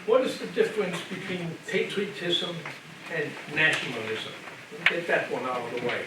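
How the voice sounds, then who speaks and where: Older german guy lecturing at church, high-ish voice, very echoey room from far off. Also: expressive, normal speed. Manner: conversational, repeats and restarts phrases, asks the room "okay?". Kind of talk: church adult education lecture. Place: church hall. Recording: very echoey hall, distant mic, background noise.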